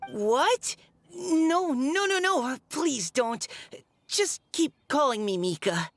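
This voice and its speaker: A woman's voice: sits high, lively intonation, slow pace, heavily acted. A woman voicing a shy, modest young boy knight in a video game, polite and hesitant with a stammer. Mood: flustered.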